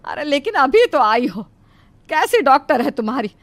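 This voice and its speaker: A woman's voice: high-pitched, sing-song, normal speed. A woman voicing an anxious, admonishing middle-aged mother-in-law in a cartoon moral story. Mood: scolding. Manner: fretful, admonishing.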